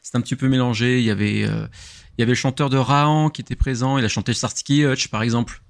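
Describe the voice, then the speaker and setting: A man's voice: medium pitch, steady tone, fast, creaky. A middle-aged man reminiscing casually, as a guest in a group chat. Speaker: podcast guest.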